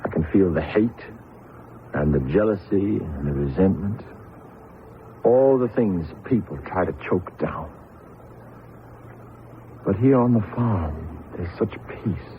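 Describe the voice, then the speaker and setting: A man's voice: low-pitched, animated, takes it slowly, long pauses, slight background noise, exaggerated voice. An actor playing an earnest, lonely young man who tells his story in a radio drama, on a tinny old recording. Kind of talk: radio drama acting and narration. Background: music.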